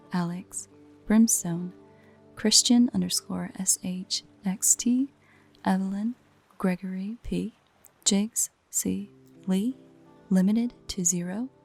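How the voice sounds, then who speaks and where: A woman with a soft, breathy voice and a creaky edge gives a casual creator's outro. She sounds low-key and informal as she addresses her listeners, with faint music behind. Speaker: audio roleplay creator thanking listeners.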